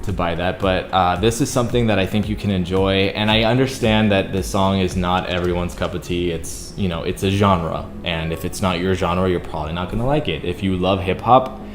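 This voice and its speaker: A young man with a very deep baritone voice, chatting earnestly to his viewers in a casual vlog, full of "like" fillers. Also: a little fast, hardly any pauses, echoey room, over music. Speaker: YouTuber and singer.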